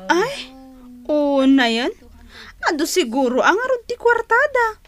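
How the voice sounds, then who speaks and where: A woman's voice: extremely high pitch, sing-song, a little slow, full character voice. A woman playing a chatty, opinionated market woman in an Ilocano radio drama. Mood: indignant, knowing.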